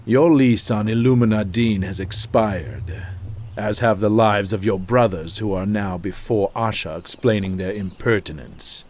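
An actor playing a stern, pious necromancer lord in a fantasy game, with pompous, measured, archaic speech. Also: male voice, fairly low pitch, slight background noise, lightly acted. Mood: menacing, haughty. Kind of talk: dramatic fantasy game dialogue. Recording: loud music under the voice.

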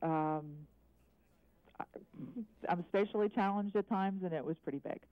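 Halting eyewitness on a dry phone line. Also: mid-range voice, clean tone, no echo. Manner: hesitant, halting, careful answers. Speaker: eyewitness caller. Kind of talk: live news interview, unscripted answers.

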